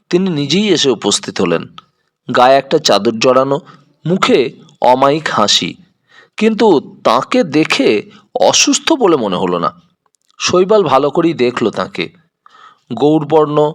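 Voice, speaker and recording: A man's voice: medium pitch, fairly bright tone. A man narrating a scripted detective story as an audio storyteller.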